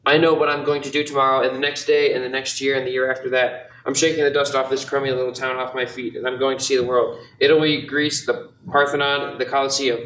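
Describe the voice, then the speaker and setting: A man's voice: mid-range voice, flat delivery, quick pace, few pauses, a bit bright, a little hiss or hum, natural voice. A young man acting an eager, restless small-town dreamer in an amateur radio play, earnest and prone to bashful stammering. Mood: eager, boastful. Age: young adult. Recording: roomy, distant mic, music underneath.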